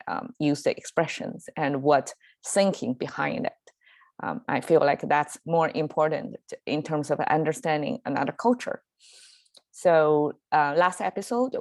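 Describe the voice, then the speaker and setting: Slow, low-voiced woman with a Chinese accent. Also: a little mellow, dry recording. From Chinese-speaking. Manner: hesitant, lots of fillers and restarts, laughs easily. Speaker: language teacher and show host. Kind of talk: casual solo language lesson. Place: home in Southern California.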